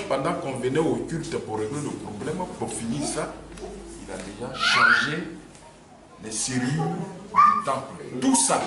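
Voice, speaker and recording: Man, lively animated telling, far from the mic in a roomy space with ambient noise. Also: medium pitch. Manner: animated storytelling with repetitions. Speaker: church member recounting a dispute. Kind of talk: spontaneous spoken account. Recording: echoey room, distant mic, background noise. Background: ambient room noise.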